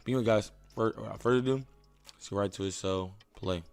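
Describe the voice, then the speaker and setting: Hoarse young guy rambling through a reaction vid, lowish voice. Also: darkish tone, faint music behind. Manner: chatty, rambling, pauses to think aloud. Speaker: reaction video host. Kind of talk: casual reaction commentary.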